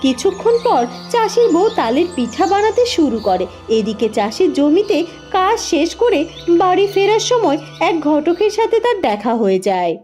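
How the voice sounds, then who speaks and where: Lady narrator reading a cartoon fairy tale, high pitched, soft music behind. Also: big pitch swings, moderate pace. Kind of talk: scripted cartoon storytelling narration.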